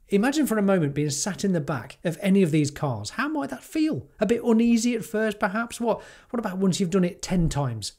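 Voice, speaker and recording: A man's voice: high-pitched, darkish tone. A British man in his forties, a tech YouTuber giving scripted, enthusiastic commentary to camera from a home studio, with rhetorical questions and wry asides. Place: home studio with neon sign.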